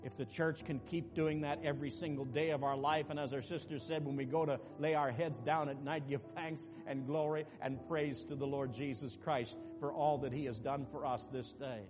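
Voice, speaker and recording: A man preaching a sermon as pastor, dropping to quiet asides and rising to loud, emphatic calls to the congregation. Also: on the high side, fairly even intonation, moderate pace, few pauses. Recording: long reverb with faint music behind.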